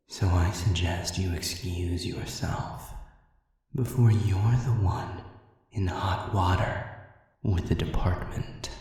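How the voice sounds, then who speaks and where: A man's voice: deep voice, warm tone, very echoey, big-room sound, distant mic. A man voicing a sadistic, smug demon villain in an audio roleplay, drawling with theatrical mockery.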